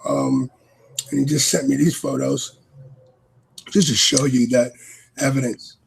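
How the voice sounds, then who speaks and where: A man's voice: medium pitch, fairly even intonation, unhurried, gravelly, breathy edge. A man from New York with a New York accent, rambling animatedly in a casual chat as a podcast guest.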